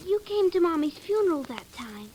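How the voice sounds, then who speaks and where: A woman's voice: very high voice, sing-song, moderate pace, mellow. An actress playing a chatty, inquisitive, earnest little girl in an old radio drama. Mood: eager, curious. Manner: artless, earnest, proudly reciting grown-up words. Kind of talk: 1950s radio drama acting. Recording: noisy vintage radio drama recording.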